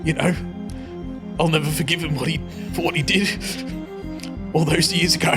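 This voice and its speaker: A man's voice: a little high, fairly even intonation, moderate pace, music in the background, close to an everyday voice. A man voicing a bitter, vengeful beaten rival in a tabletop game, blokey with an Australian accent. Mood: bitter, desperate. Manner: blokey, repeatedly says mate.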